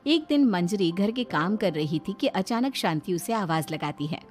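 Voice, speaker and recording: A woman's voice: mid-range voice, expressive, normal speed, a little mellow, faint music behind. A woman narrating a scripted cartoon story as its storyteller.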